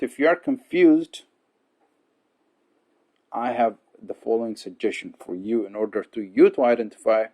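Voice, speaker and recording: A man's voice: medium pitch, warm tone. A young man from Ethiopia, a student talking earnestly to his peers in a casual monologue, often checking in with "okay" and "right?". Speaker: student giving advice to peers.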